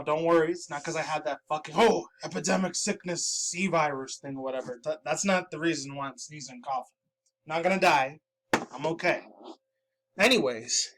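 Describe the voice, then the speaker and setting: A man in his thirties, a little stuffy-nosed, rambling casually and profanely through gaming news in his room, often laughing. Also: on the high side. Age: 30s. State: stuffy from dust. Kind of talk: casual video commentary.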